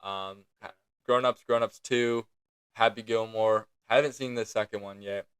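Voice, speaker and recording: A young man co-hosts a loose podcast chat with a raspy, bright, edgy voice. He rambles and pokes fun at himself as he talks. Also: fairly low pitch, steady tone, moderate pace, no room sound. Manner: rambling, self-mocking. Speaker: podcast co-host. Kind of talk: casual chat.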